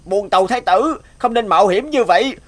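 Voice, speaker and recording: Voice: medium pitch, animated, a little fast, background noise, close to an everyday voice. An actor playing a loyal, cautious army officer in a cartoon fairy tale, formal and earnest. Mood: worried.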